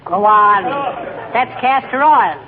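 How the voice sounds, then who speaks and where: An actress playing a patient, gently teasing, peacemaking housewife in a 1940s radio comedy. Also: female voice, mid-range voice, lively intonation, slow, some chatter behind. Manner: wry, gently chiding, folksy. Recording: tinny noisy old radio broadcast, studio audience.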